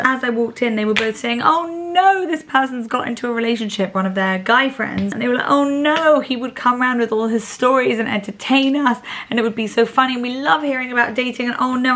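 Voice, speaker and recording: Woman in her thirties, chatty q&a vlog, candid and rambly, kinda low voice from a strained throat. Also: fairly bright tone. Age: 30s. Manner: chatty, candid, lots of likes and honestlys. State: voice still recovering, speaking low. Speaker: vlogger. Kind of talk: casual Q&A chat to camera.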